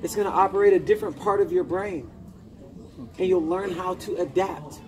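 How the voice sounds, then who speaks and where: A man's voice: high voice, fairly even intonation, moderate pace, darkish tone. A man in his thirties giving informal motivational coaching to a small group, emphatic and directive, with short commands and questions. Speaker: personal development coach. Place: indoor lounge, seated among a small group. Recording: noisy room with ambient hum.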